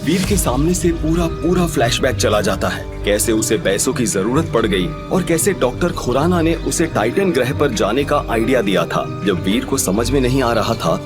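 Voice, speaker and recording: A man's voice: mid-range voice, expressive, normal speed, few pauses, over music. A man narrating a scripted audio drama as its storyteller.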